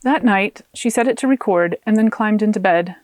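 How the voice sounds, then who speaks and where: A woman author reading her own fiction aloud as an expressive audiobook narrator. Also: mid-range voice, moderate pace, no echo.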